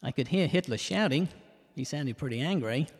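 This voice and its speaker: A man playing a cheeky, self-mocking detective who narrates a comic audio drama in rhyme, landing puns deadpan. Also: a little high, moderate pace, husky. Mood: wry storytelling. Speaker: narrator and lead character. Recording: reverberant hall sound.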